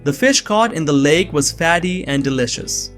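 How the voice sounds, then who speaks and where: A man's voice: fairly high pitch, lively intonation, moderate pace, soft background music. A young man giving earnest, scripted first-person narration of a suspense story. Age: young adult.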